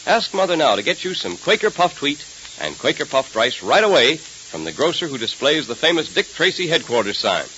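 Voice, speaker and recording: A man's voice: fairly high pitch, big pitch swings, brisk, bright, forceful tone. An American man announcing and narrating an old 1930s radio serial in an emphatic, theatrical scripted style. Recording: hissy, muffled 1930s radio transcription.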